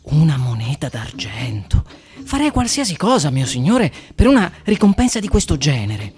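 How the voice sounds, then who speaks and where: A man's voice: fairly high pitch, wildly swooping pitch, normal speed, a little mellow. A man voicing a poor, eager but wary boy hero in a fairy tale.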